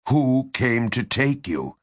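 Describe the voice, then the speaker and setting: A male narrator plays an interrogator in an audiobook thriller, a man with strained patience. He is low-voiced and slow. Each word is measured, tight and insistent. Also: big pitch swings, fairly bright tone, slightly rough, dry recording, clean studio sound, heavily acted. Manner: measured, tight, deliberately enunciated.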